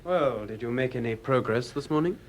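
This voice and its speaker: Guy acting impatient lab boss in radio play, medium pitch, businesslike and brisk. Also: background noise, lightly acted. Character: brisk, impatient male laboratory chief giving orders.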